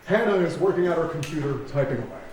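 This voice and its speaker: A man narrates stage directions from a script at a staged reading. His voice sits a little high. He is heard from a distance in a very reverberant theatre, with faint noise. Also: expressive, quick pace, darkish tone.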